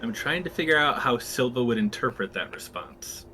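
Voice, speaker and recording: A man's voice: mid-range voice, sing-song, normal speed, bright, forceful tone, soft background music. A man playing a tabletop roleplaying game, talking in plain casual chat. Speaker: player.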